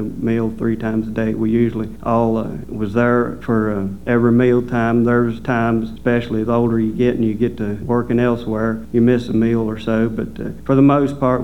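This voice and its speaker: A man whose first language is Pennsylvania Dutch tells his faith story in a halting, plain way, pausing often and chuckling softly. His voice sits at an ordinary middle pitch. The recording is dull and lo-fi, with a faint hum underneath. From Delaware, raised in rural Kentucky. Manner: halting and unpolished, with frequent hesitations and soft chuckles. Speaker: speaker sharing his faith testimony. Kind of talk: personal faith testimony.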